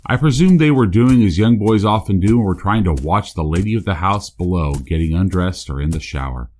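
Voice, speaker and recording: A man playing a true-crime podcast host, delivering scripted narration in a measured, deliberate way. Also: sits low, lively intonation, a little mellow. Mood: grave, measured. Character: male true-crime podcast host, solemn small-town investigator.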